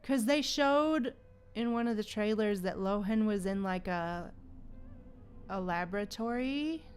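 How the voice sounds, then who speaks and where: A woman's voice: medium pitch, lively intonation, measured pace, clean tone, faint music behind. A woman livestreaming a game, chatting casually and musing aloud in an informal, slangy way. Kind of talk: casual live stream chat and commentary.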